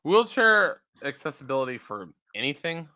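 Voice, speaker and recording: A young man co-hosts a casual podcast, teasing and cracking jokes. His bright, sharp tone swings widely up and down as he talks, giving a jumpy, animated delivery. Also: on the high side, moderate pace. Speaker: podcast co-host. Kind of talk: casual chat.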